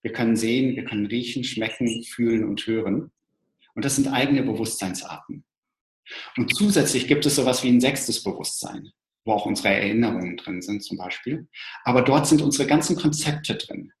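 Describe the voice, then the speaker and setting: A man's voice: medium pitch, moderate pace. A man explaining ideas thoughtfully, with some restarts. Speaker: co-presenter. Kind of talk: explanatory talk to an online audience. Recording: roomy echo.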